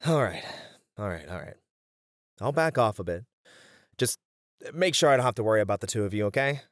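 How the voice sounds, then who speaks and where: A man's voice: fairly low pitch, expressive, moderate pace, pauses often, no room sound, clean studio sound, lightly acted. A man acting an uptight, caring band manager, earnest and mildly exasperated, in an ASMR roleplay. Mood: earnest, relenting.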